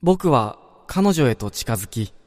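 A man playing a wistful dreamer in a radio drama, telling of his own dream in a measured, reflective first-person narration. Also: mid-range voice, big pitch swings. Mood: tender, longing. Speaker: narrator-protagonist. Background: background music.

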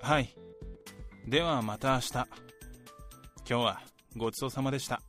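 A man's voice: medium pitch, moderate pace, a bit bright, lightly acted. A man playing an ambitious, self-assured young office worker in a radio drama, speaking politely and earnestly to his senior. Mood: polite, reserved. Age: young adult. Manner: polite junior speech, talkative about ambitions. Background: background music.

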